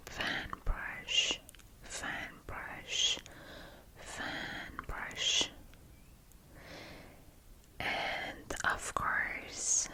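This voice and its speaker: A woman whispering breathily as an ASMR host, gently guiding relaxation and repeating words and phrases. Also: very high voice.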